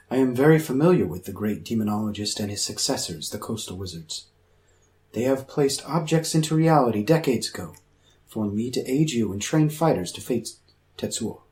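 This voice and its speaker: A man's voice: mid-range voice, a little mellow, close to an everyday voice. Man playing a pompous, quirky supercomputer. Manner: pompous, convoluted, oddly formal phrasing. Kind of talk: hobby comic radio drama dialogue.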